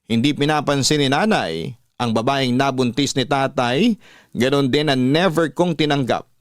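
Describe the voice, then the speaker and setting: A man with a Filipino accent narrating a first-person story in a measured way as a radio drama host. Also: medium pitch, no echo, clean studio sound. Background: music.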